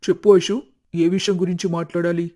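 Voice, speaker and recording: A man's voice: high voice, level tone, brisk, mellow, close to an everyday voice. A man voicing an earnest young husband devoted to his mother in a cartoon story. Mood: calm.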